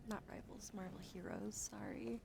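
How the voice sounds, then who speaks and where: Young woman, soft ASMR talker, husky fry in the voice, half-whispering close to the mic, hissy home recording. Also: mid-range voice, big pitch swings, normal speed. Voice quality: gravelly fry, often whispered. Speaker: streamer doing tarot readings. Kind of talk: casual ASMR chat, soft-spoken.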